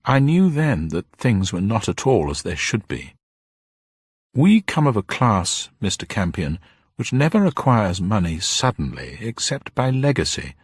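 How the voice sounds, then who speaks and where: A man's voice: fairly low pitch, sing-song, measured pace, husky, no room sound, clean studio sound, voice pushed up, exaggerated voice. A man voicing a little old upper-class woman, genteel, formal, grieving and proud, in an audiobook story. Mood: solemn confiding. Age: older. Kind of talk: dramatised audiobook character voice.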